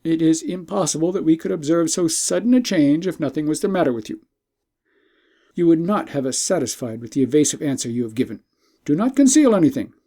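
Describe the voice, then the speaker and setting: Man playing a protective young prince, mellow, creaky. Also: on the high side, full character voice. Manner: formal, earnest, pressing. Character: young prince, protective, insistent, concerned brother.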